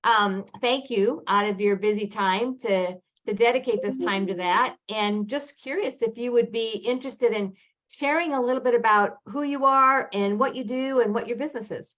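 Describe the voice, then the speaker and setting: Higher-voiced woman hosting, muffled audio. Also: fairly bright tone. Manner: polite, measured questions. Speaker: interview host. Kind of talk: friendly interview opening.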